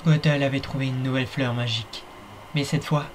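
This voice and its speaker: A man's voice: mid-range voice, steady tone, fairly quick, slight background noise. A man narrating a fan-dubbed fairy tale in a playful, wry way, speaking straight to the viewer. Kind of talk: fan-dub storytelling narration.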